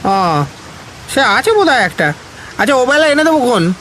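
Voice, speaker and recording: An excitable old village servant in an audio story. The voice is pitched high, rustic and deferential, full of exclamation, with noisy rain behind it. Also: male voice, moderate pace, heavily acted. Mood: curious, obliging. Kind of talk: Bengali audio story acting. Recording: rain and ambient noise behind.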